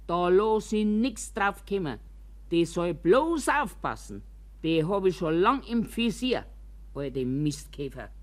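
An older actress playing an embittered, quarrelsome and anxious old care-home resident in a radio monologue, with a Bavarian accent and a grumbling, emphatic, deliberate delivery. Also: female voice, medium pitch, darkish tone. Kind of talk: dramatic radio monologue in colloquial dialect.

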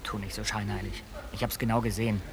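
A man's voice: fairly low pitch, flat delivery, quick pace, crowd noise, close to an everyday voice. An actor in a radio play playing a jealous man who needles his partner with mocking sarcasm. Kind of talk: naturalistic radio play quarrel.

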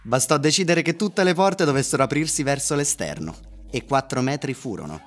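An actor playing an envious, excitable male office worker in an Italian radio drama, animated and insistent. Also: mid-range voice, a little fast, lightly acted.

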